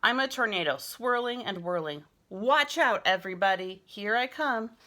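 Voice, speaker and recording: A woman's voice: medium pitch, expressive, normal speed, sharp tone, voice pushed up. A woman voicing an exuberant, imaginative little boy who boasts his way through a children's picture book. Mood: excited. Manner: exuberant, boastful, sound-effect loving. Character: boisterous imaginative little boy playing vehicles and animals.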